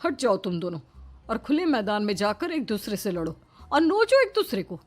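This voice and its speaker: A woman's voice: mid-range voice, big pitch swings, normal speed. A woman voicing a possessive, bossy middle-aged mother-in-law in a cartoon, emphatic and melodramatic. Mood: indignant, possessive. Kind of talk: Hindi moral-story cartoon dubbing.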